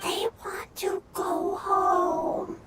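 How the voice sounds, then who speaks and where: A woman playing a small, gentle mushroom creature in a game, squeaky and childlike, speaking in halting, broken phrases. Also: little pitch movement, heavy background noise, heavily acted. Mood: plaintive. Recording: loud game music behind.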